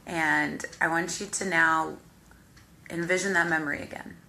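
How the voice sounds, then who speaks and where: A woman hypnotherapist with a deep voice, guiding the listener through a visualization in slow, calm, step-by-step instructions. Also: gravelly, a little hiss or hum. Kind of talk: guided visualization exercise.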